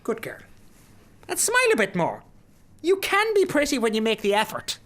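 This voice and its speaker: A male Irish shop boss in a radio drama. He is patronising and overbearing, lecturing with swooping, lively intonation. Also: very high voice, moderate pace, exaggerated voice. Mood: patronising, stern. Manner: condescending, lecturing.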